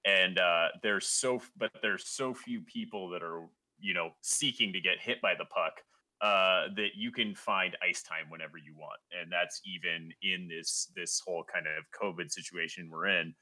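Bright-voiced man in casual chat. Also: low-pitched, moderate pace. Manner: animated, stumbling restarts. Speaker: guest goalie.

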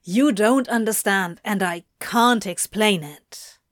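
A woman's voice: mid-range voice, slow pace, no echo, clean studio sound, natural voice. A woman voicing a flustered, defensive, foul-mouthed male supernatural being who mutters and snaps evasively in an audiobook story. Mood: anguished, retreating. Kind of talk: fan fiction audiobook character voice.

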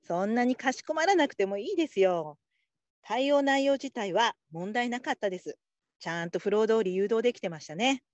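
A woman playing a patient, supportive call-centre supervisor in a radio drama, calm and politely instructive. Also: on the high side, fairly quick, dry recording, lo-fi sound, natural voice. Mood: gentle, instructive. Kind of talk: Japanese radio drama acting.

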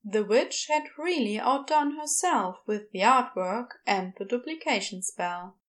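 A woman's voice: on the high side, lively intonation, unhurried. A woman narrating scripted fiction as an audiobook storyteller.

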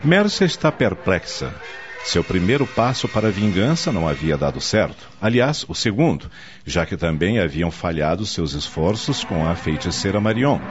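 A man's voice: deep voice, animated, normal speed. A man narrating a radio soap opera in a dramatic, scripted style. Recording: muffled audio with music behind.

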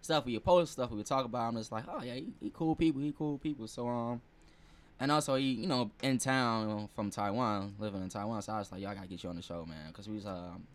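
American man, lowish voice, podcast host, slangy casual chat. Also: fast.

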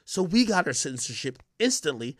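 A man's voice: fairly high pitch, sing-song, fairly quick, no room sound, clean studio sound. A man hosting an unscripted reaction podcast, talking in a brash, slangy, profane and emphatic way. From Jamaica. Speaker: podcast host and commentator. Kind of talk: unscripted reaction commentary, casual streamer talk.

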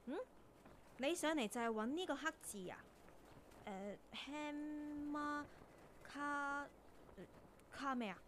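A woman's voice: high-pitched, slow, pauses often, fairly bright tone, slight background noise. A woman acting in a Cantonese radio drama as a perky, plucky young intern, chatty, blunt and full of exclamations. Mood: spirited. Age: young adult.

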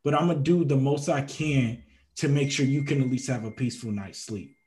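A man's voice: mid-range voice, a little fast, darkish tone. A young man co-hosting a podcast, talking casually and laughing often, with hesitant restarts when he turns reflective. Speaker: podcast co-host. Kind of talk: casual conversational debate.

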